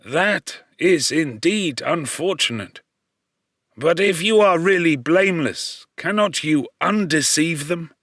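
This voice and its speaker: Audiobook role: male actor as elderly blind exile, kindly and earnest, pitched well up, unhurried delivery. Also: animated, dry recording, clean studio sound, heavily acted. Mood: gentle, reassuring. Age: older. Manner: gentle, earnest, courteous. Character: kindly old blind man, poor exiled cottager. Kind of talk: audiobook character voice.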